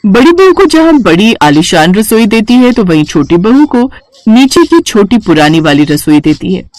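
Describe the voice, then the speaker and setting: A woman's voice: medium pitch, sing-song, moderate pace, a little mellow, no room sound. A woman narrating a scripted children's bedtime story.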